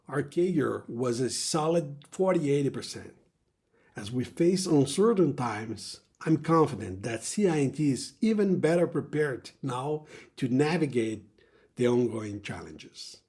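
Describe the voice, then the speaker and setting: A man giving a scripted earnings call presentation as a company executive, in a formal, measured corporate manner. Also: fairly high pitch, darkish tone.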